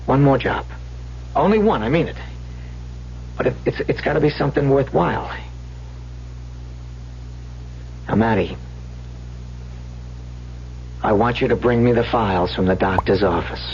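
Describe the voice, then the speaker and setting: An actor playing a small-time swindler pleading with his sweetheart in an old radio drama, speaking in halting, repetitive street slang. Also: medium pitch, gravelly, a little mellow, lightly acted. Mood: urgent, coaxing. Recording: dull, hissy vintage radio recording with hum.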